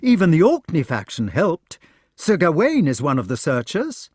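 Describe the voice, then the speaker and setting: Man doing an overexcited young knight, loud and high, voice jumping all over. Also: a little slow, husky, no echo, clean studio sound, heavily acted. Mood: overjoyed. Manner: loud, excited, pitched high. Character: eager young knight, excitable and warm-hearted.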